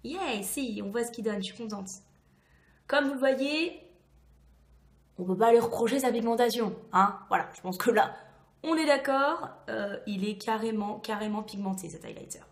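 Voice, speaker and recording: Casting: woman beauty vlogger, highish hoarse voice, chatty and enthusiastic, recorded in a reverberant room. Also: normal speed. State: hoarse, recovering from lost voice. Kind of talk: casual product review to camera.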